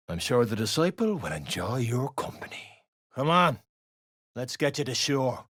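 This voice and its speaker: An actor playing a gruff, coarse, bullying soldier of an underground dwarf race in a video game. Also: male voice, a little high, fairly bright tone, no echo, studio quality. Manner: coarse, barking, colloquial. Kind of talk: video game character voice lines.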